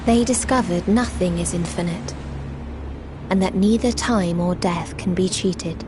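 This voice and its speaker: An actress playing a mournful, otherworldly being who speaks for many in a video game, in solemn, declamatory, archaic language. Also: female voice, fairly low pitch, a little slow, noisy background, lightly acted. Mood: grave reporting. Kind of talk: video game cutscene monologue, formal archaic narration.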